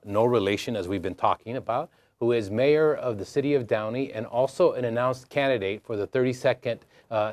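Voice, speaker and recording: A man's voice: mid-range voice, darkish tone, no echo. A man, a university professor, hosting an interview in a friendly, joking way with some hesitant fillers. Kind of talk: hosted interview.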